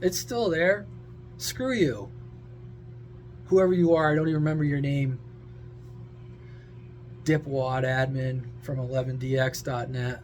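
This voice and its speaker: A man's voice: mid-range voice, a little slow, pauses often, a little mellow, slight background noise. A man giving a casual, opinionated rant to his viewers, blunt, sarcastic, crude and exasperated. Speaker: social media creator and CB radio hobbyist.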